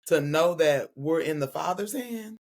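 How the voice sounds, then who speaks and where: A woman's voice: sits low, unhurried. A woman preacher and guest speaker in a casual faith-centered conversation, talking expressively with laughs and affectionate asides. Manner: expressive, laughing, calls friend "girl" and "honey".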